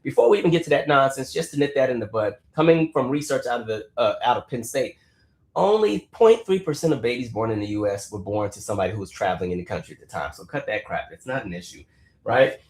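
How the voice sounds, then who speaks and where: A man's voice: mid-range voice, fast talker, roomy sound. A young adult man hosting a casual political commentary stream, talking emphatically, with frequent repeats and restarts as he riffs. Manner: animated, emphatic, repeats words and restarts while riffing.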